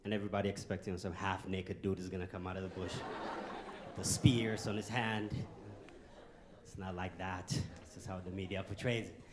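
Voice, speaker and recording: A man from Ethiopia, giving a joking, animated motivational talk to a youth audience in a conference hall and throwing questions at the crowd. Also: low-pitched, moderate pace. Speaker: guest speaker.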